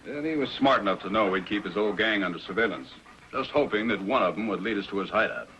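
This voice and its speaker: A man's voice: on the low side, lively intonation, quick pace, bright, forceful tone, a little hiss or hum, rough recording. A man playing a stern, righteous Mountie sergeant in a 1950s television adventure, commanding and formal. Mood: calm, assured.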